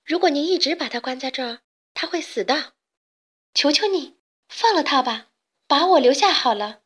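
A brave, tender young daughter from a storybook, voiced by a woman narrator. Her voice is high and earnest. She speaks with a pleading, devoted manner. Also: expressive, normal speed, a bit bright. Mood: desperate pleading. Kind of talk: children's storybook reading.

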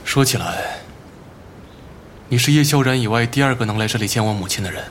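An actor playing a guarded, grieving son in a Chinese audio drama, speaking in a measured, reflective way. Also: male voice, mid-range voice, expressive, slight background noise, close to an everyday voice. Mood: somber, reflective. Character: grieving, guarded adult son of a wealthy family. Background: prominent background music.

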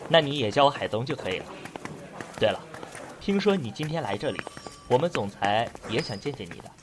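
一名男配音演员扮演一位礼貌热情的公司职员。. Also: male voice, mid-range voice, sing-song, moderate pace, long pauses, noisy background, natural voice. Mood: courteous. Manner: courteous, sociable. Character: polite friendly company man.

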